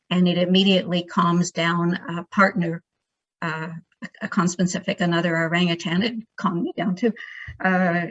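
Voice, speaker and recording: A woman with a fairly low, flat voice answers as a researcher in an informal academic discussion. She thinks aloud, haltingly, often doubling back on words. The sound is a little dull and lo-fi. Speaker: primate researcher, guest speaker.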